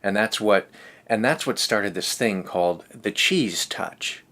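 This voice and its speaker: A man with a low voice hosts a read-aloud for kids. He reads in a casual, easygoing way and drops in chatty asides. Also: lively intonation, unhurried, bright, forceful tone. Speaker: host of a children's read-aloud show.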